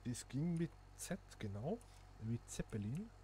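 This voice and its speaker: A man's voice: medium pitch, sing-song, unhurried, dark tone, slight background noise. A man, chatting casually to viewers and thinking aloud as he plays a simulation game. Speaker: gaming channel host. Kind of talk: casual gameplay commentary.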